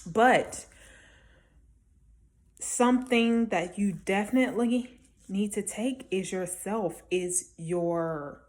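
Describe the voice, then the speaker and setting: A woman's voice: mid-range voice, slow, long pauses, a little mellow. A woman talking casually and encouragingly as a small-business vendor giving advice, emphatic and conversational, often checking in with the listener. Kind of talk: casual motivational advice talk to camera.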